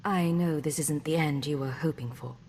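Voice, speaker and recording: A woman's voice: deep voice, normal speed, darkish tone, big-room sound, slight background noise. An actress voicing a composed, direct female imperial secret agent in a video game. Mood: caring. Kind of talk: scripted video game voice acting.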